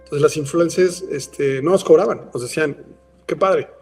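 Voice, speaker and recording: A man's voice: fairly high pitch, moderate pace, a little mellow, soft background music. A man with a Mexican accent, a company founder speaking as a guest in a casual interview, rambling with lots of filler words. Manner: rambling, frequent "este" fillers.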